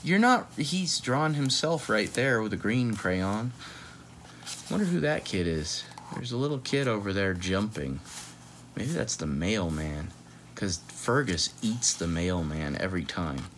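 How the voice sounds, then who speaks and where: A man's voice: lowish voice, very animated, measured pace, a bit bright, a little hiss or hum. A man in his thirties chatting playfully through a children's picture book, often wandering off into asides. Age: 30s. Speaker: read-aloud host. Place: small room at home, on a couch.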